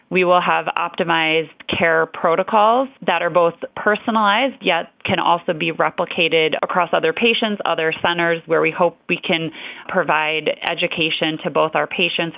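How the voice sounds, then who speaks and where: A woman's voice: lowish voice, fairly even intonation, moderate pace, creaky, no echo. A woman physician answering interview questions in a fluent, earnest, explanatory way. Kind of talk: medical podcast interview. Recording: dry tinny phone-like audio.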